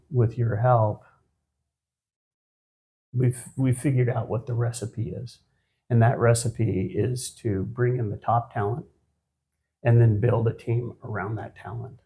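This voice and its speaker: A man in his fifties, a guest on a business podcast, answering in a measured, reflective way with frequent pauses and restarts. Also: lowish voice, dark tone. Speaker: guest, managing partner of an accounting firm. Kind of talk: conversational podcast interview.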